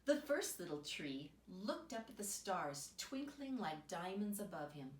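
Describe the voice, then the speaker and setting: A woman reading a children's picture book aloud as an expressive storyteller, recorded in a roomy space away from the microphone. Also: lowish voice, a little slow. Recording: roomy echo, distant microphone.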